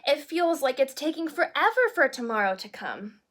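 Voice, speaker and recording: A woman voicing an eager, excitable five-year-old princess in a storybook reading. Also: high-pitched, moderate pace. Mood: impatient.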